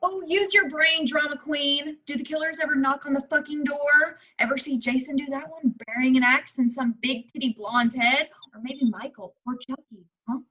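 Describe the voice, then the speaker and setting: A woman's voice: sits high, fairly even intonation, moderate pace, hardly any pauses, pushed and bright, muffled recording. A woman playing a bossy, sarcastic horror-film heroine in an amateur table reading, blunt and emphatic. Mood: bossy, scornful.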